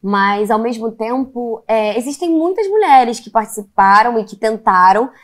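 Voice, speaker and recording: Thoughtful Carioca actress, a touch high-pitched, measured and searching for words. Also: female voice, animated. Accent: Carioca Brazilian Portuguese. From Rio de Janeiro, Brazil. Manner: thoughtful, hesitant restarts with "é". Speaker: actress interviewee. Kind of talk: casual interview chat.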